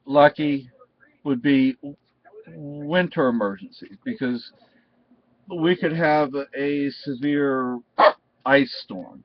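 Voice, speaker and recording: American man, middle-aged, unhurried pace, casual halting delivery, slightly dull recording. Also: medium pitch, a little hiss or hum. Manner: rambling, halting with repeated restarts. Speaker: video reviewer. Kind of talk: casual unscripted talk.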